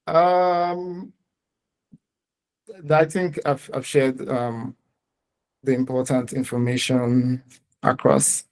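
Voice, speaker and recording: Male startup founder, Nigerian accent, mid-pitched, explaining his business live with hesitant phrasing. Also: flat delivery, extremely slow, long pauses. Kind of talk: live pitch and question answering.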